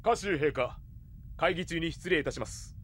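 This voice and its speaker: An actor playing a stern, irritable mercenary captain and examiner with a commanding bark, in an anime audio drama. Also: male voice, on the high side, moderate pace, a bit bright, slight background noise, close to an everyday voice. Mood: formal. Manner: commanding, barking.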